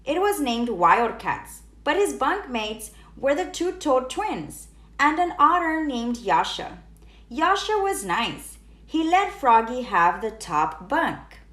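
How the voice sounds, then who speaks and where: A woman's voice: high voice, sing-song, takes it slowly, a bit bright. A woman reading a children's storybook aloud, expressively and with emphatic sound words. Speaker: teacher reading children's books aloud, channel host. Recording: roomy echo.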